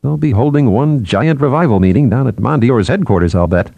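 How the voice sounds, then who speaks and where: A man voicing a brisk, slightly impatient psychologist in a conversational way for an audiobook. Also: medium pitch, animated, vocal fry, warm tone, no echo, muffled recording, pitched above the performer's own voice.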